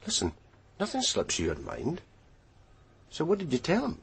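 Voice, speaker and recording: An actor playing a gruff, wry police detective with a husky voice in a radio crime drama. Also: male voice, fairly high pitch, wildly swooping pitch, moderate pace, heavily acted. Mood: probing.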